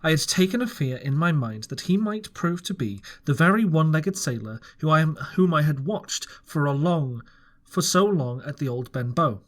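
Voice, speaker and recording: Lively man reading a storybook aloud. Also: a little high, moderate pace. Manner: earnest, expressive, with small restarts. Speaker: audiobook reader. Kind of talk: first-person story narration.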